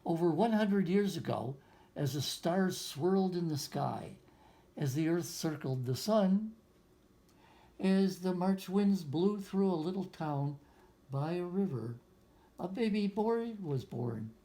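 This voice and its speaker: This is a soft-toned, mellow voice reading a picture book aloud to young children. The delivery is slow and gentle, pausing for simple explanations. Musing side remarks and questions to the listener are woven into the storytelling. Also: medium pitch, lively intonation. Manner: musing asides and rhetorical questions.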